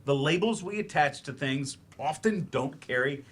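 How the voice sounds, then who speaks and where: Actor playing a bitter, high-voiced sarcastic man. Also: lively intonation, normal speed, fairly bright tone, slightly rough. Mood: impatient, explaining. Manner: sarcastic, defensive, emphatic. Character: bitter, sarcastic, grieving man, ex-writer turned remedial English teacher. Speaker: stage actor in a staged play reading. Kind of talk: staged play reading, dramatic dialogue. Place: library staged reading.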